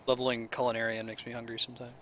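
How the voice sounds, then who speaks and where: A man's voice: medium pitch, monotone, rapid-fire, a bit bright, slight background noise. A man chatting casually as he plays a video game with friends. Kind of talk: casual gaming chat.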